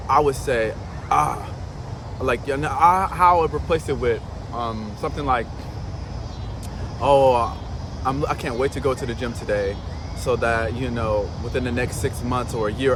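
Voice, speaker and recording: Man in his thirties, mid-pitched, rambling self-help talk with cursing; street traffic audible. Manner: loose, swearing, many fillers and restarts. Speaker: motivational vlogger. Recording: outdoor traffic noise behind.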